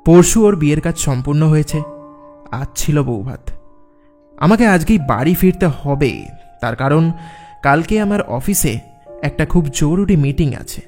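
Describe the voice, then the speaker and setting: Guy acting as skeptical young office man in a horror tale, kinda high voice, music behind. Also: darkish tone. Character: young office man recounting his eerie night, dismissive, skeptical. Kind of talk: dramatised horror story narration. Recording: music under the voice. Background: background music.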